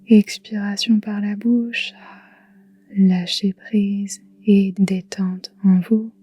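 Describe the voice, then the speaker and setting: Super slow soft breathy woman doing guided meditation, mellow and even, soothing. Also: medium pitch, no room sound. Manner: soft, breathy, whispery delivery; slow, calm, soothing, with long pauses. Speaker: yoga nidra guide.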